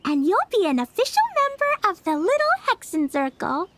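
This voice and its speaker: A woman's voice: very high voice, sing-song, moderate pace, noisy background. A woman voicing a sweet, playful and trusting little witch girl in a video game, with a bubbly childlike manner. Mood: bright, playful. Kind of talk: video game character acting. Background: background music.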